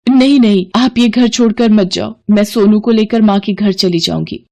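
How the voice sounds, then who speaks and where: A woman voicing a gentle, dutiful young wife in a storybook drama, speaking politely and earnestly. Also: medium pitch, normal speed, vocal fry, natural voice. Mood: pleading.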